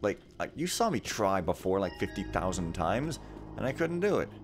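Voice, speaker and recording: A man's voice: deep voice, very animated, normal speed, music in the background. A man hosting a let's play, chatting casually over gameplay in a rambling, joking, laid-back way.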